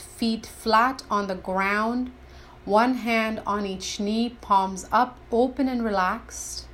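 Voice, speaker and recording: A woman leading a scripted guided meditation in a deliberate, reverent, instructional way. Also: medium pitch.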